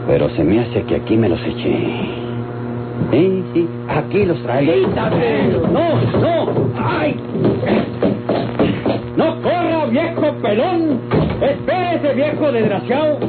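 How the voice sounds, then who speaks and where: A weakened rustic Mexican gunman in an old radio drama. He grumbles indignantly in country slang, his pitch swinging widely. The recording is thin and muffled, with music behind. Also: huge pitch swings, takes it slowly, pauses often, heavily acted. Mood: muttering, distracted, then frantic shouting.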